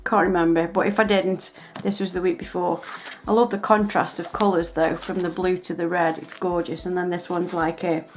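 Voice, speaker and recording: A woman's voice: on the low side, little pitch movement, normal speed, faint music behind. A woman chatting casually as she shows off planner stickers, gushing and restarting her sentences. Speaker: planner vlogger. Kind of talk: casual show-and-tell narration.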